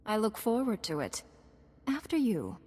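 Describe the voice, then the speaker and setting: An actress playing a composed, earnest young Jedi knight in a video game, measured and polite. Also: female voice, mid-range voice, very animated, a little mellow, husky. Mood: feigned deference. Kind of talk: video game cutscene acting.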